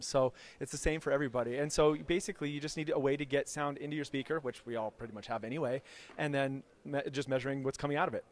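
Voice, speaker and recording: A man speaking as an enthusiastic expert guest, explaining technical material informally in an interview. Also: mid-range voice. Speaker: acoustics lecturer, interview guest.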